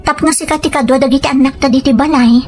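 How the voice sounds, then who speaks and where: A woman's voice: high-pitched, steady tone, fast, music in the background, lightly acted. A woman playing a determined wife and mother in a dramatized story, earnest and persuasive. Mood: pleading, insistent. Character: determined wife and mother set on working abroad. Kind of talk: dramatized first-person storytelling with dialogue.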